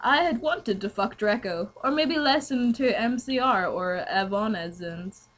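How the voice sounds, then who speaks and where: A woman's voice: a little high, a little slow, fairly bright tone. A woman giving a jokey, theatrical dramatic reading of fan fiction, giggly and sweary.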